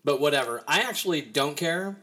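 A man's voice: fairly high pitch, expressive, brisk, bright, forceful tone. A man from Kansas City talks loosely as the host of a casual podcast. He rambles, cracks jokes and swears without a second thought. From Kansas City, Missouri. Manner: rambling, joking, swears freely. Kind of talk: casual chat.

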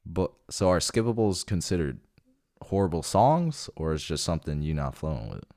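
A man's voice: low-pitched, very animated, normal speed, mellow. A man co-hosting a casual music debate, teasing his partner with short pointed questions. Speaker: podcast co-host.

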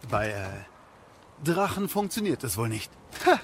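An actor playing a fussy, squeamish dwarf craftsman in a video game audio drama, excitable and halting in his delivery. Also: medium pitch. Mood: explaining, boastful. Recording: clear background noise.

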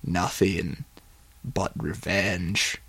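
A man voicing a calm, persuasive manipulator with a measured, insinuating delivery in an audiobook. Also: lowish voice, dry recording, exaggerated voice. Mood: calmly coaxing. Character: calm, persuasive manipulator coaxing toward revenge. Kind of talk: audiobook character voice.

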